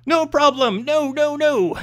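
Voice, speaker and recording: A man's voice: very high voice, takes it slowly, voice pushed up. A man voicing a small, nervous, greedy demon from a fantasy story, shifty and self-important. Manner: shifty, wheedling, boastful.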